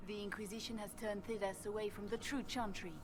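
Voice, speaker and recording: A woman's voice: medium pitch, level tone, brisk, lightly acted. An actress playing a defiant, devout female church agent held captive in a fantasy video game. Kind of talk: dramatic fantasy game acting. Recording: loud game music under voice.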